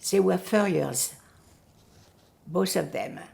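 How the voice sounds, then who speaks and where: An older woman whose first language is Yiddish, recalling her family in an oral history interview, pausing often to search for words. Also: fairly low pitch, vocal fry, darkish tone. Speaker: Holocaust survivor giving testimony.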